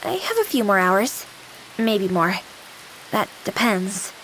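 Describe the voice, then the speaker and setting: A woman's voice: medium pitch, big pitch swings, takes it slowly, fairly bright tone, a little hiss or hum, rough recording. A woman playing a young female AI companion with an anime-girl persona in a visual novel game, devoted and formal but playful. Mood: calm. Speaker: game character.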